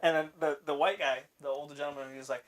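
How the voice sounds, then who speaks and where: A man's voice: a little high, fast. A young Canadian man chatting casually on a podcast, telling stories and acting out what people said, laughing often. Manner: animated storyteller, laughs often, acts out quoted lines. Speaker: podcast co-host. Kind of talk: casual chat.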